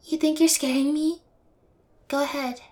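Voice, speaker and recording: High-voiced, taunting young yandere girl played by a woman. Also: fairly even intonation, normal speed, a little mellow, natural voice. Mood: taunting, daring. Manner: taunting, brazen, teasing, drops to breathy whispers. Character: bold, twisted, spoiled rich yandere girl in prison. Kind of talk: intimate audio roleplay to the listener.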